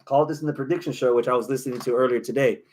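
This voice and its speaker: A man's voice: medium pitch, steady tone, rapid-fire, a little mellow. A man with an American accent hosting a wrestling podcast, giving opinionated, profane analysis in a casual chat.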